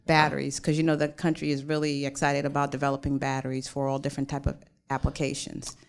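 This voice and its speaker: A woman's voice: extremely low pitch, completely flat delivery, fast talker, gravelly, clean tone. A woman judging at a conference forum, asking the presenter questions in a friendly, encouraging way. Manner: friendly, encouraging, loses her train of thought. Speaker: forum judge. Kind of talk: panel questioning. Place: conference hall.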